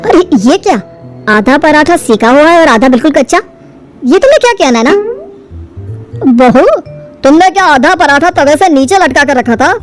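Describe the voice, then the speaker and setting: A woman's voice: sits high, very animated, normal speed, long pauses. A woman voicing a fussy, greedy middle-aged father-in-law in a storybook tale. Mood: puzzled, fussy. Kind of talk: animated storybook dialogue. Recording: music under the dialogue.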